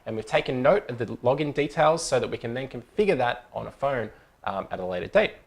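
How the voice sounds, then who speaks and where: Man, thirties, medium pitch, informal instructor, step-by-step explaining, casual tutorial presenter. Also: lively intonation, brisk, gravelly. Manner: informal, explanatory, checks along with okay.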